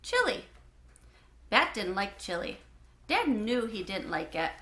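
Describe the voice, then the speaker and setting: A woman narrating a children's book in an expressive, animated way, with clear phrasing. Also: medium pitch, a little slow, reverberant. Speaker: read-aloud host.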